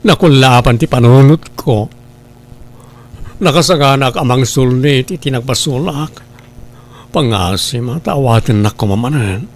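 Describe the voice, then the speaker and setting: A man voicing a remorseful young husband who pleads softly in an audio drama. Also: mid-range voice, a little slow. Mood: pleading. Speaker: voice actor. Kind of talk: Ilocano audio drama acting.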